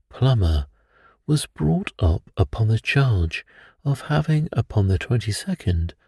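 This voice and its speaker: A man narrating a classic detective tale as a bedtime story in a calm, measured, soothing manner. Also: on the low side, slightly rough, no echo, studio quality.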